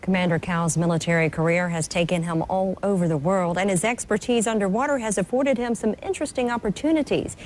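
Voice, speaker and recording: A woman news reporter delivering scripted narration in a smooth, measured way. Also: medium pitch, a little mellow, slight background noise.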